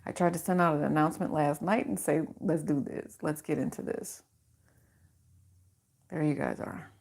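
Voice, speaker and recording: A woman with a naturally low, deeper-set voice hosts a casual livestream card reading. She talks in a chatty, reassuring way, stringing affirmations together into her microphone. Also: steady tone, normal speed, creaky, darkish tone. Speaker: tarot reader and channel host.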